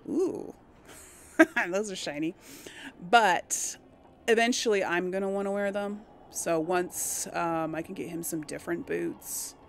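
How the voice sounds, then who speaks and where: A woman's voice: lowish voice, a little slow, vocal fry, a little hiss or hum. A woman giving casual let's play commentary, hesitant and musing as she thinks aloud to herself. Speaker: gaming host.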